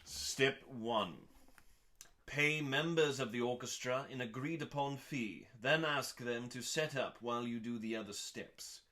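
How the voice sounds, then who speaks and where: A man performs a theatrical reading in a mid-pitched, bright and forceful voice. His pacing is very slow, with weighty pauses and drawn-out emphasis. He sounds pompous and mock-serious, treating every phrase as high drama. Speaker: host reading aloud from a cookbook.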